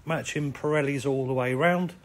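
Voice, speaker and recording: A man with a croaky, hoarse voice and a British accent, casually talking through a car's features while filming in an indoor showroom. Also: medium pitch, normal speed. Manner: relaxed, chatty listing of features. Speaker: car salesman. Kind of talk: walkaround car sales video. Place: indoor car showroom with tiled floor.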